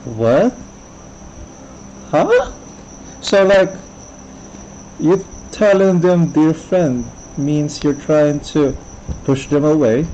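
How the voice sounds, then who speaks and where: A man's voice: on the high side, lively intonation, extremely slow, pauses often, warm tone, muffled recording. A man casually reacting and commenting as he live streams a video game. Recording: faint background noise.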